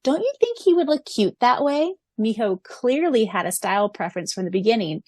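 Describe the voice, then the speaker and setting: A woman's voice: a little high, sing-song, brisk, darkish tone, no echo, studio quality. A woman co-hosting a fan podcast who also narrates its audiobook, gushing and giggly as she chats and reads. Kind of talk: casual fan podcast chat.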